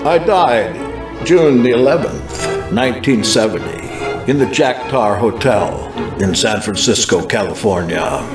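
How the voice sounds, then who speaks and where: A man playing a fervent American healing evangelist, solemnly and deliberately recounting a prophetic vision with dramatic emphasis. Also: medium pitch, lively intonation. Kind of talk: dramatized first-person testimony, scripted narration. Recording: roomy echo with music underneath.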